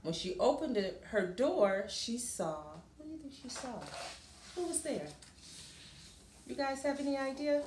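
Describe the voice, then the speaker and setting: Slow-paced woman reading a storybook, roomy sound. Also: high voice, expressive, darkish tone, slight background noise. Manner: deliberate, explains and asks listeners questions. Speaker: children's storybook reader. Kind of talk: expressive picture-book read-aloud with asides to children. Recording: roomy, echoing room sound.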